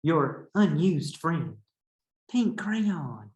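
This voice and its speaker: A man's voice: on the high side, very animated, extremely slow, a little mellow, a little hiss or hum, full character voice. A man voicing an indignant, sassy female pink crayon from a picture book, with a pointed, huffy way of addressing a child. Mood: sassy, pleading. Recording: echoey room.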